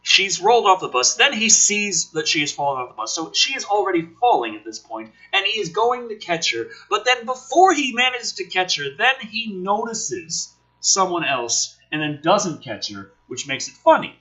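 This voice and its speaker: A husky-voiced man reading a script aloud dramatically, with exasperated, sweary commentary as host. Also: sits high, sing-song, normal speed, pushed and bright, low-quality recording. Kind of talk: animated script read-aloud with profane commentary.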